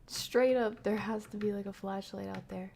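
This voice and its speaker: A woman doing casual live commentary on a horror game, talking to chat in slang and thinking out loud as she reacts. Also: medium pitch, fairly even intonation, normal speed, vocal fry, slight background noise. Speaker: game streamer.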